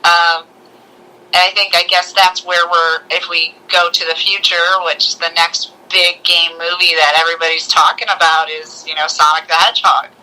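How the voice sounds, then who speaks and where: A woman co-hosting a casual movie discussion, talking in an excitable way and acting out little quotes. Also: lowish voice, moderate pace, low-quality recording. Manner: excitable, acts out little quotes as she talks. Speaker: co-host of a movie review show.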